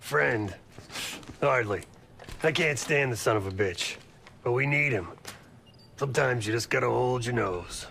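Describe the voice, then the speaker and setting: An actor playing a gruff, cynical veteran operative in a game, with a gravelly voice and a blunt, sardonic manner. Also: male voice, medium pitch, animated, a little slow, long pauses, a little hiss or hum, full character voice. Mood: sardonic, distrustful. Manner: sardonic, blunt, folksy sayings. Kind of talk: scripted game cutscene dialogue. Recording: loud music over the voice. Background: loud music.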